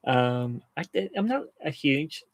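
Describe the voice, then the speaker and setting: A man's voice: medium pitch, big pitch swings, slow, no room sound. A man co-hosting a casual livestream chat, with a slight lisp, talking through his teeth and laughing often. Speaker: co-host.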